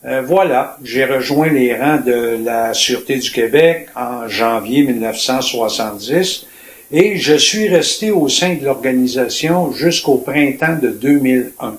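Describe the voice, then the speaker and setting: Slow-talking Quebecois man in his seventies. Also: mid-range voice. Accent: Quebec French. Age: 70s. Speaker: retired police officer being interviewed. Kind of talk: personal storytelling in an interview. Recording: echoey room with faint noise.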